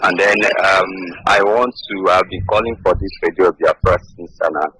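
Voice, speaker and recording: A man calling in to a radio show, speaking respectfully and deliberately with fillers. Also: sits low, steady tone, noisy background. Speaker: caller. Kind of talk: phone call-in.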